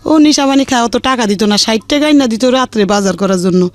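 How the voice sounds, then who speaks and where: A woman's voice: fairly high pitch, lively intonation, brisk. Woman, middle-aged, colloquial Bangladeshi accent. Unpolished, rambling life-story telling in an interview, faint music underneath. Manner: rambling, colloquial, plain-spoken. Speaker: interview guest. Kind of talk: personal life-story account.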